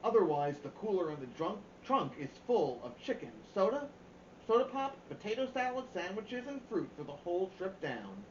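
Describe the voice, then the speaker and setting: A man voicing a brisk, organized mother who announces road-trip plans with emphasis in a storybook. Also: high-pitched, very animated, pitched above the performer's own voice. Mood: organized, upbeat. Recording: roomy, dull, faint background noise.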